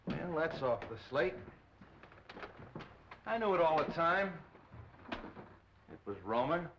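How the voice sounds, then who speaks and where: An actor playing a gruff, impatient American police detective with clipped delivery in 1930s film style. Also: male voice, fairly high pitch, lively intonation, takes it slowly, a bit bright, exaggerated voice. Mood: irritated, bantering. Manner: clipped, brusque, wisecracking. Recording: dull, noisy 1930s film soundtrack.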